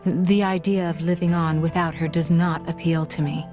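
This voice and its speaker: A woman's voice: fairly low pitch, steady tone, moderate pace, close to an everyday voice. A woman voicing a composed, courteous, devoted female video game character who speaks formally. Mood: solemn. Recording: music behind the dialogue.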